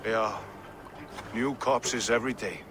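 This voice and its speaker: A man's voice: fairly low pitch, fairly even intonation, slow pace. An actor playing a wry, streetwise medieval London lawman in a video game, blunt and sardonic, with colloquial phrasing. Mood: matter-of-fact, grim. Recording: reverberant, clear outdoor ambient noise.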